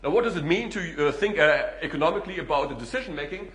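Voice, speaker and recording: A middle-aged man from Germany with a German accent, giving a relaxed public lecture as a guest speaker and filling pauses with "uh" and "you know". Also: on the high side, roomy sound. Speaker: guest speaker, economics professor.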